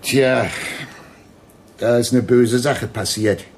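An actor playing a folksy, apologetic small-town police sergeant in a radio drama, with a hesitant, roundabout way of talking. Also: male voice, medium pitch, fairly even intonation, slow, a little mellow, slight background noise. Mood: chatty. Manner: hesitant, roundabout, colloquial, chatty.

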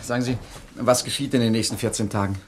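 Man acting a fast-talking, wheedling gangster prisoner. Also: mid-range voice, fairly even intonation, slight background noise, natural voice. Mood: pleading. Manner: theatrically pleading, wheedling. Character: wily male prisoner, pleading, falsely meek, crafty gangster. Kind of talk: comedic German radio drama acting.

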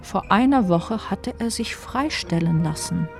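An actress narrating a literary radio play as a composed, subtly ironic storyteller with measured delivery. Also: female voice, mid-range voice, expressive, creaky, faint music behind. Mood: wry, composed. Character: wry female storyteller, knowing and composed.

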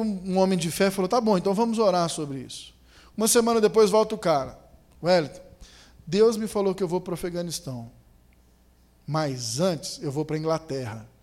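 A man's voice: fairly high pitch, darkish tone. A man preaching a sermon, telling a story with rising, emphatic build-ups.

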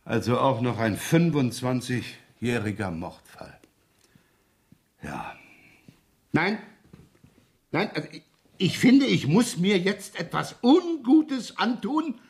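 An actor playing a middle-aged police inspector in a radio crime drama, weary, gruff and dryly sardonic. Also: male voice, on the high side, big pitch swings, slow, pauses often, big-room sound, exaggerated voice. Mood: grumbling, sardonic. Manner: dry, grumbling, wry. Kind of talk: 1970s German radio crime drama acting.

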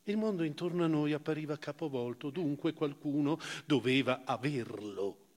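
A man giving a dramatic first-person literary reading on stage, theatrical, with emphatic rises and hushed phrase endings. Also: fairly high pitch, normal speed. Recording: reverberant hall, live stage reading.

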